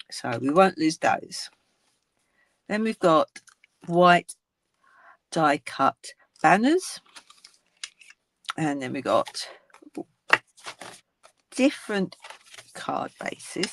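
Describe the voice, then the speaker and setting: An older woman with a croaky, gravelly voice talks slowly through a craft demonstration. She unpacks a card kit in a chatty, unhurried way, naming each piece as she goes. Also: low voice. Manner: chatty, unboxing items one by one. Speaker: craft video host.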